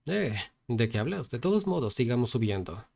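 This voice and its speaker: A man's voice: mid-range voice, sing-song, moderate pace, creaky, a little mellow. A man voicing a young male team leader who gives firm orders in a story. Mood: commanding.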